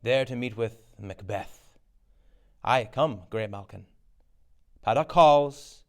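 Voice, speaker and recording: A man's voice: mid-range voice, darkish tone. A man voicing sinister witches in a stage tragedy, with an incantatory delivery. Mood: ominous chanting. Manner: slow, incantatory. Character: sinister witches chanting riddles on a heath.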